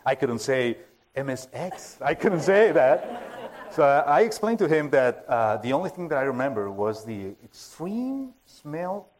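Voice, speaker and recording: A man with a Spanish accent, Spanish as his first language, preaching an informal sermon, halting and joking at his own expense with many fillers and restarts. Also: medium pitch, big pitch swings, a little mellow. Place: church hall with a full audience.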